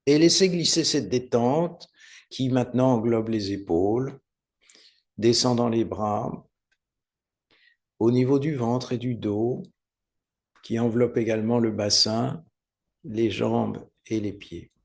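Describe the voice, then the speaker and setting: A man teaching guided meditation, giving unhurried, soothing step-by-step instructions with pauses. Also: medium pitch, vocal fry, a little mellow. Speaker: yoga nidra meditation teacher.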